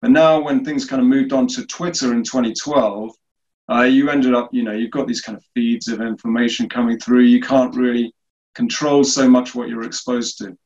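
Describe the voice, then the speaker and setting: Brief: male guest from the UK, mid pitch, easygoing, muffled low-fi audio. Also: moderate pace. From United Kingdom. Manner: relaxed, many fillers and restarts. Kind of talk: casual interview answers.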